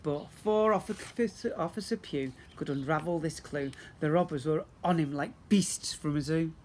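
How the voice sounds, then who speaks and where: A man's voice: a little high, normal speed, slight background noise. A man reading a rhyming storybook aloud to young children like a teacher, theatrically and with asides to his listeners.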